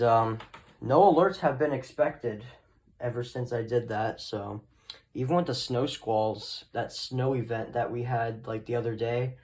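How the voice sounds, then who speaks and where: A man's voice: mid-range voice, darkish tone. A man giving a casual, unscripted show-and-tell, rambling and often trailing off into short asides. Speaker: hobbyist video host.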